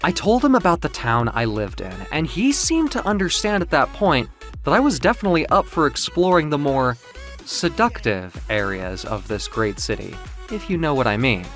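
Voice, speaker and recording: A man narrating first-person audio fiction in a theatrical, expressive, confiding way. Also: a little high, normal speed. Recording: music under the voice. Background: music.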